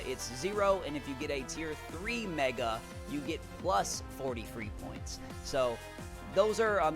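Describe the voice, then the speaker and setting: Young male streamer, high-pitched, hyped and rambling, voice worn thin, small home room. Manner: hyped, shout-out heavy, repeats words when excited. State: losing his voice. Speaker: YouTuber and draft league coach. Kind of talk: energetic casual vlog talk.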